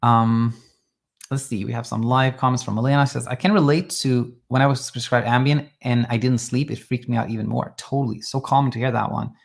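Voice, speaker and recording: Gravelly-voiced Swedish-raised man coaching. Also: medium pitch, fairly quick. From Sweden. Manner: calm, reassuring, thinks aloud with restarts. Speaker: sleep coach. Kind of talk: live Q&A advice talk.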